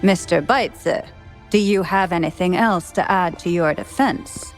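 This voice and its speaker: Woman doing a calm official running a hearing, formal, faint music under it. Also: lowish voice, lightly acted. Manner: formal, measured, authoritative. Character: composed woman presiding over a hearing. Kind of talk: game voice acting.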